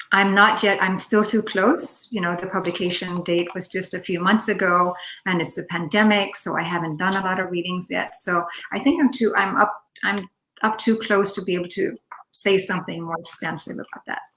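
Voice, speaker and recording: A woman whose first language is Finnish, answering questions as a poet in a halting, reflective way over a video call. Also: lowish voice, fairly even intonation, pushed and bright, a little hiss or hum, low-quality recording. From Denmark. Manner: halting, reflective, searching for words. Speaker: poet guest. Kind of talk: casual Q&A answers.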